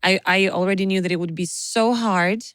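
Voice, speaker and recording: A Ukrainian woman in her twenties, a youth pastor, speaking earnest, halting English with many fillers. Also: mid-range voice, steady tone, a bit bright, clear, resonant tone, no room sound, clean studio sound. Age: 20s. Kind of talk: interview guest, personal testimony.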